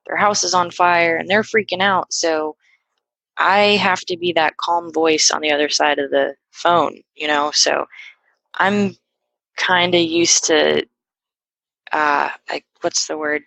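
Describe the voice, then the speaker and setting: A woman's voice: sits low, fairly even intonation, slow pace, gravelly, a bit bright, no echo, rough recording. A young woman guest on a podcast, talking casually and hesitantly with lots of fillers and restarts. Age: young adult. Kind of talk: casual conversational interview.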